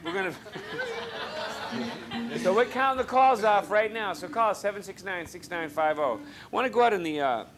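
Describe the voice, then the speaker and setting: A man's voice: high voice, sing-song, unhurried, a crowd in the background, background noise. Joking Boston man hosting live TV. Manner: joking, teasing guests. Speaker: TV talk show host. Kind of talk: live call-in show banter. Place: TV studio with audience.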